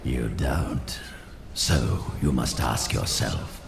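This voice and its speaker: An actor playing a resurrected, treacherous wizard in a fantasy game, sardonic and theatrical, with a deep gravelly voice. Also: male voice, drawn-out words, noisy background, exaggerated voice. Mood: sly bargaining. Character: resurrected undead renegade wizard, treacherous, wry and bargaining. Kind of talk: dramatic fantasy game acting.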